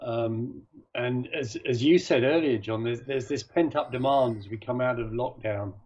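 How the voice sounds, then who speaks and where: Male guest, halting and self-correcting, muffled video-call sound. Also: medium pitch, a little mellow, breathy edge. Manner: hesitant, many restarts. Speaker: sailing class chairman, guest. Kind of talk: casual video-call interview. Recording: dull video-call audio.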